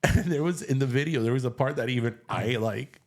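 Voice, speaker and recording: A man's voice: medium pitch, brisk. A man with a Miami Cuban-American accent chatting casually as a co-host, teasing and dropping in Spanish words. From Miami, USA. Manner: animated teasing banter, sprinkles in Spanish words. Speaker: podcast co-host. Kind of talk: casual chat.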